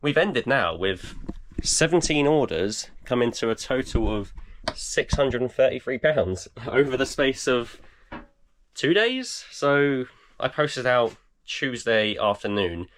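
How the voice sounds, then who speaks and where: A man in his thirties, croaky and tired, chatting casually in a vlog with lots of fillers. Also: lowish voice, lively intonation, normal speed, fairly bright tone. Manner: tired, rambling with many fillers. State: croaky, voice almost gone. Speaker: eBay reseller vlogger. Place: home garage.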